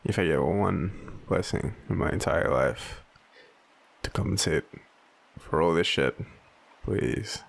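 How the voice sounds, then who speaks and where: An Australian man playing an overwhelmed, devoted, self-deprecating partner in an intimate audio roleplay, speaking haltingly with sighs. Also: sits low, gravelly, slightly rough, exaggerated voice. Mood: pleading, loving. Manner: halting, hesitant, sighing.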